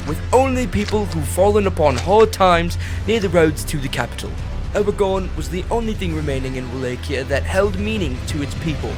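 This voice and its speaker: A man narrating a fantasy audio drama in a scripted storybook style. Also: high-pitched. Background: music.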